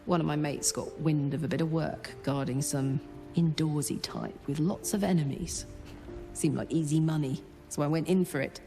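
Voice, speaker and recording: Low-voiced, rough-edged woman brawler. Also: steady tone, normal speed, music in the background. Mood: wry reminiscing. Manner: informal, blunt, emotive storytelling. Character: brawny female tiefling ex-bodyguard, rough, wounded, bitter. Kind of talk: cinematic video game acting.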